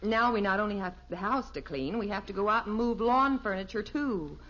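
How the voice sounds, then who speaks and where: A woman's voice: medium pitch, fairly quick, fairly bright tone, natural voice. An actress playing a weary, wry middle-aged mother in a 1950s radio sitcom. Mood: exasperated. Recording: muffled, hissy old radio recording.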